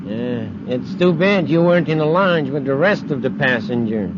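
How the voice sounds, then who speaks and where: An actor playing a shrewd, bossy space thief in an old-time radio sci-fi drama. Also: male voice, mid-range voice, animated, normal speed, lightly acted. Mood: sly. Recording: noisy, muffled old radio recording.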